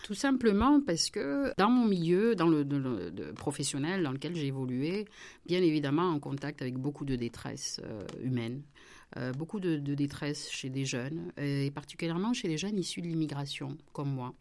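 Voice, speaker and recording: A middle-aged woman from France, a member of parliament talking about her life in an interview, pausing often with fillers and restarts. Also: sits low, lively intonation. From southern France. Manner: hesitant, many fillers and restarts, warm laughs. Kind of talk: relaxed personal interview.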